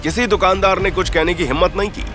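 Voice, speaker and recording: A man's voice: high voice, fairly even intonation, fast talker, over music. A man narrating a scripted audio story in a suspenseful, theatrical style.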